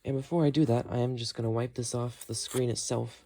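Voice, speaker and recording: A man with an average-pitched voice hosts a hands-on tutorial. He talks casually and thinks aloud as he works, explaining each step in an unscripted way. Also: fairly even intonation, a little fast, creaky, dark tone. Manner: thinks aloud while working. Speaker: host of a repair tutorial.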